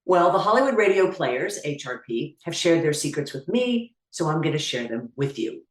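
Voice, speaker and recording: A woman's voice: low-pitched, echoey room, rough recording. A woman hosting, giving an upbeat, earnest scripted introduction to a radio play and appeal for donations. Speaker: host.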